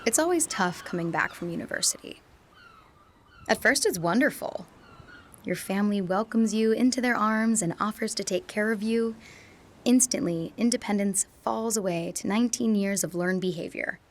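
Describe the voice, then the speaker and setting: A woman's voice: medium pitch, normal speed, natural voice. A woman playing a wistful young adult back home from university, speaking in a reflective, confessional radio drama monologue.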